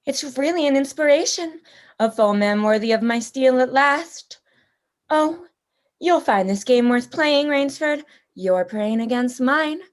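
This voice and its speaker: A woman playing a suave, predatory female general in a radio play, speaking with pompous, toying politeness. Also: sits high. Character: suave, genteel, predatory female general who hunts people.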